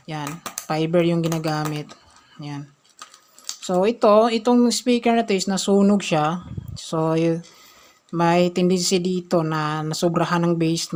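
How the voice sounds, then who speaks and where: A woman with a fairly low voice presents an informal how-to. She talks haltingly, dropping short filler words between the steps. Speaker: repair tutorial presenter. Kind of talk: informal hands-on tutorial.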